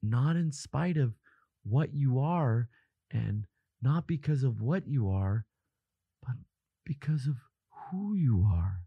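A man acting a devoted, protective boyfriend in an intimate audio roleplay, speaking softly in halting, earnest reassurance. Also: mid-range voice, lively intonation, vocal fry, slightly rough, dry recording, highs cut off, exaggerated voice. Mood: insistent, loving.

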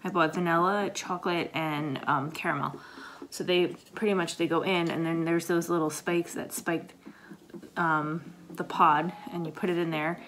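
A woman with a slightly raspy voice chatting casually to camera in her kitchen, rambling with lots of fillers. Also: fairly low pitch, fairly even intonation, normal speed, fairly bright tone, a little hiss or hum. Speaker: vlogger, paramedic. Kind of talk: casual coffee chat to camera. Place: home kitchen, indoors.

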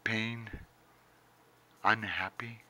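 A middle-aged man performs spoken-word poetry in a low, very forceful voice. He goes extremely slowly, leaving long pauses between short phrases. His delivery is intense and theatrical, recorded up close in a room. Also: fairly even intonation. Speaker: poet reading own poem. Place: indoors, small book-lined room. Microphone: handheld camera very close to face.

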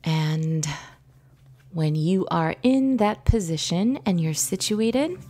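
A woman leading a guided visualization meditation in a gentle, soothing, instructive manner with long pauses. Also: on the low side, lively intonation. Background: background music.

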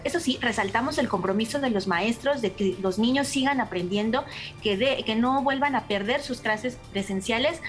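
A woman researcher, speaking as a guest on a video call in a live news interview, measured and explanatory with frequent fillers. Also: a little high, soft background music.

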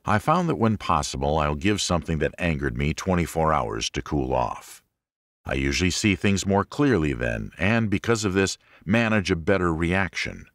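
A man with a notably low voice narrates an instructional audiobook. His delivery is deliberate and measured, setting out each point as a lesson. The sound is dry, with very little room around it. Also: very animated, fairly bright tone, no echo. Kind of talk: scripted audiobook narration of religious self-help.

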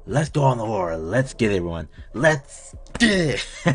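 A young man in his twenties hosts a reaction video at home, chatting excitably and swearing. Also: mid-range voice, slow pace, husky. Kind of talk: casual chat. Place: home room with curtains.

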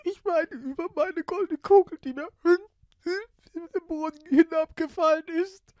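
A man's voice: a little slow, darkish tone, no echo. A man voicing a young, vain princess who promises eagerly in a fairy-tale audiobook, with girlish exclaiming. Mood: tearful. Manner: high-pitched girlish exclaiming.

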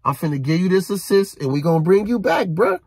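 Husky high-voiced man ranting in a car. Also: fast talker, no room sound, studio quality. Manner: animated, preachy, repeats phrases, street slang. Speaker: vlogger. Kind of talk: casual rant to camera. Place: inside a parked car. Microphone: phone held low at arm's length.

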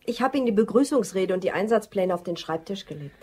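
A disgruntled office assistant in a crime radio play. The voice is husky and of average pitch. Her delivery is dry and sardonic. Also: lively intonation, fast talker, dark tone. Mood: cool, polite. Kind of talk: German crime radio drama acting.

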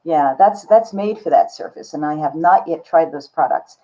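A woman's voice: low voice, normal speed, dark tone. An older woman artist talking candidly and casually as an interview guest over a video call. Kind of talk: casual reflective interview talk. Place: book-lined home studio room, indoors. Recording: slightly dull video-call audio.

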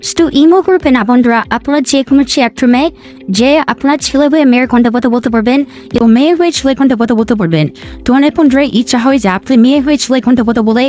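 A man's voice: extremely high pitch, darkish tone, voice pushed up. A man voicing a young woman presenting a tech tutorial through a voice changer, repeatedly addressing viewers as friends. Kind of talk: scripted-sounding tutorial introduction. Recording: faint music behind the voice.

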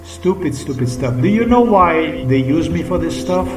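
A man's voice: on the high side, animated, normal speed. A middle-aged man with a Slovenian accent, talking unscripted to his webcam in a rambling, repetitive, emphatic way, sometimes sinking to a whisper. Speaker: vlogger. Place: small indoor room. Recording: roomy webcam audio from a distance, faint music behind.

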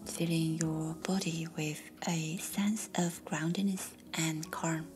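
Woman, whispering meditation guide, slow, flat even tone, faint music behind. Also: deep voice. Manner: slow soft whispering delivery.

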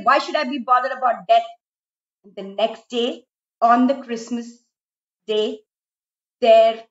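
A middle-aged woman tells a story for children in a dramatic, expressive way, with emphatic repetition. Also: fairly high pitch. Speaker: storyteller, host. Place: small room indoors at home. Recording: roomy, slightly dull home recording.

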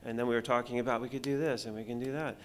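A middle-aged man speaking on a conference panel as a film director, halting, with many fillers and restarts, into a table mic on stage. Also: medium pitch, steady tone. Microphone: table mic on stand.

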